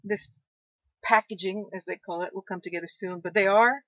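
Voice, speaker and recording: A woman guest talking about her screenplay and novel in an enthusiastic, animated way. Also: medium pitch, moderate pace, no room sound. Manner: enthusiastic, talks with frequent restarts. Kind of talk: animated interview conversation. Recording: dull lo-fi dry sound.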